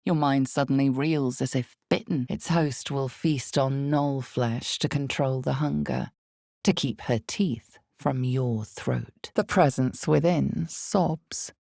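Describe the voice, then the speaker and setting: A woman playing the grave, intimate, ominous storyteller of a dark fantasy game, narrating with slow, deliberate, dramatic pauses. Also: no echo, clean studio sound, exaggerated voice. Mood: tense, dramatic. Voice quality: low, husky, gravelly. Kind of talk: dramatic second-person scripted game narration.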